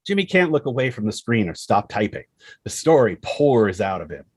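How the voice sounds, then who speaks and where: A man's voice: lowish voice, very animated, normal speed, husky. A man reading screenplay stage directions as the narrator of a scripted table read. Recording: loud music under the voice. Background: loud instrumental music.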